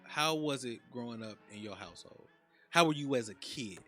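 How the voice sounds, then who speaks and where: A man's voice: mid-range voice, lively intonation, moderate pace, sharp tone, faint music behind. A man hosting a casual podcast interview, hyped and profane, repeating lines for emphasis. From Chicago south suburbs. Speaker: podcast host.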